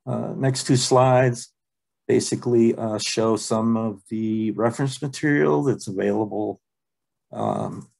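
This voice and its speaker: A man presenting a software training webinar over a video call, relaxed and halting, with many ums and uhs. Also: fairly low pitch, vocal fry, husky. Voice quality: plain, unexciting voice. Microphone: computer mic on a video call.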